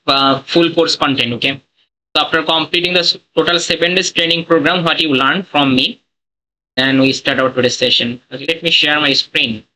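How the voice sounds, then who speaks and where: A man's voice: a little high, sharp tone, poor audio. A man leading a live online training session over a video call, speaking plain non-native English with many "okay"s and fillers. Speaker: online course instructor.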